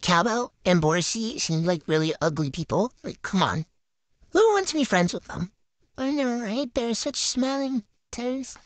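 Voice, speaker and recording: A giggly woman bantering through a silly game video, her voice bouncing up and down in pitch as she brags in teen slang. She sounds close to the mic in a dead, dry space. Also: no room sound. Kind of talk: playful improvised banter voicing game characters.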